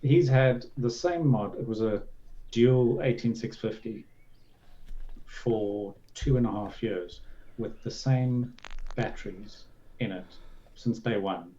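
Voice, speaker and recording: A man's voice: mid-range voice, slow pace, warm tone. A man co-hosting a show in casual chat, sweary and self-deprecating. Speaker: show co-host and reviewer. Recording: dull, noisy microphone audio.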